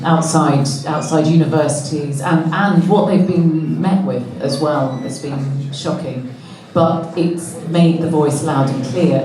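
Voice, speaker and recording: A woman interviewer asking questions in a measured, reflective way. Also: sits low, darkish tone, recorded from a distance. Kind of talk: conversational interview. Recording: echoey room, voice from off mic.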